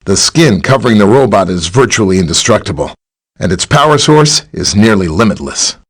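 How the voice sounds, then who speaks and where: A man playing a friendly, helpful scientist superhero in a video game, with a chatty, polite, explanatory way of talking and a slightly husky voice. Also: on the low side, animated, brisk. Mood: explanatory, earnest. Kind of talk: scripted video game character dialogue.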